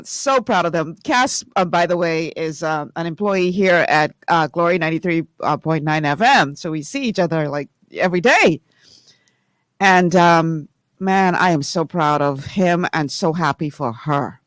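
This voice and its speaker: A radio show host with a Bahamian accent, chatting casually and excitedly before turning to earnest, preacher-like encouragement. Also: unhurried, clear, resonant tone, dry recording. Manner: animated, laughing, swings into high excited tones.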